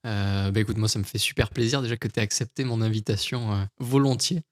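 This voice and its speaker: A man's voice: lowish voice, brisk, no room sound, clean studio sound. A young man hosting a podcast interview, talking casually with many restarts and fillers. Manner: friendly, stammering, many fillers. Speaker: podcast host.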